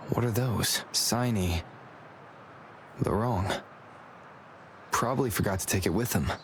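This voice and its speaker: Game adventurer, lowish male voice, quietly musing, matter of fact, faint noise behind. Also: unhurried. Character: observant male adventurer quietly puzzling over clues. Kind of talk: video game voice acting.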